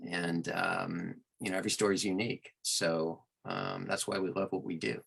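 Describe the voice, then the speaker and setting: A man speaking as an audiobook narrator and guest in an interview, answering conversationally in measured, thoughtful phrases. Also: low voice, level tone, gravelly, fairly bright tone, slightly rough.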